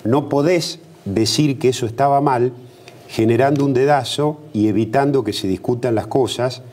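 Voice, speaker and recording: A man's voice: mid-range voice, moderate pace, a little mellow. A middle-aged Argentine man, a politician being interviewed on TV, arguing emphatically with rhetorical questions and frequent restarts. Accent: Argentine Spanish. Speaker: politician guest.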